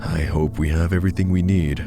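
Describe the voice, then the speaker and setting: A young male hiker in a horror story, voiced by a narrator. He is chatty and worried, speaking with a gravelly edge as music plays behind him. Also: low voice, steady tone, brisk, voice pushed up. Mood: nervous.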